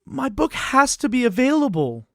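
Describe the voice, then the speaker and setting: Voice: sits high, sing-song, normal speed, vocal fry, no room sound, clean studio sound, full character voice. A performer voicing a naive, earnest book in a puppet show, pleading and indignant in a theatrical way. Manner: plaintive, indignant, emphatic pleading. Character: naive, earnest picture book facing a ban trial.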